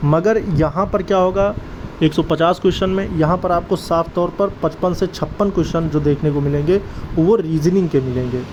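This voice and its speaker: A man's voice: a little high, moderate pace. A man giving informal exam updates and advice in an earnest, urging way, addressing listeners like brothers. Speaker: exam-update video creator and advisor. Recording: dull lo-fi audio, clear outdoor traffic-like noise.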